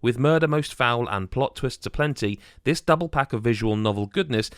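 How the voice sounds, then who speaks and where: A man's voice: mid-range voice, moderate pace, dry recording. Man narrating a scripted review, dry recording. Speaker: video game reviewer.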